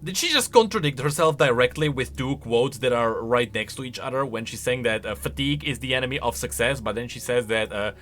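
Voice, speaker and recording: A man's voice: medium pitch, fairly quick, few pauses, a bit bright, a little hiss or hum. A man giving casual, conversational video game lore commentary, thinking aloud and posing questions. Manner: conversational, speculative, rhetorical questions. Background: faint music.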